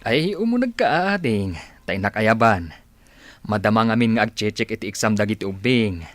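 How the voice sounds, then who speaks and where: A man plays an older village husband in an Ilocano radio play. His voice is somewhat low and recorded dry. He is plain-spoken and friendly, with an Ilocano accent. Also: expressive, normal speed, dry recording, lightly acted. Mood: welcoming. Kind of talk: Ilocano radio comedy drama acting.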